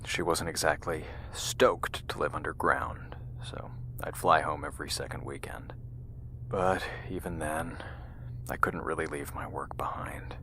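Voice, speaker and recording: An actor playing an embittered, world-weary scientist in an audio drama, intense and confiding in manner. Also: male voice, extremely low pitch, vocal fry, bright, forceful tone, full character voice. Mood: bitter, weary. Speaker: actor in fiction podcast. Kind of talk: audio drama dialogue and narration.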